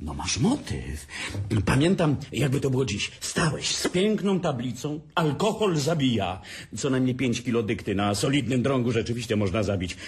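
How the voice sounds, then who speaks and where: A man's voice: medium pitch, sing-song, moderate pace, husky, exaggerated voice. An actor playing a cheeky hard-drinking man who jokes and schemes with his brother, in a playful, teasing manner. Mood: mischievous. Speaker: brother, Adam. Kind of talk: amateur radio drama acting.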